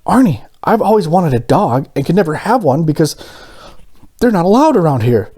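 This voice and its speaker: A man voicing a mild, kindly, hesitant grown man in a storybook, with gentle, drawn-out phrasing. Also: on the high side, big pitch swings, breathy edge, pitched above the performer's own voice. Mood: excited.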